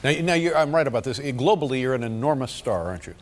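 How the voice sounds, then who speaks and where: A man from the United States hosting a talk show, asking questions haltingly with many stammered restarts. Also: mid-range voice, lively intonation. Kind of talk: casual interview chat.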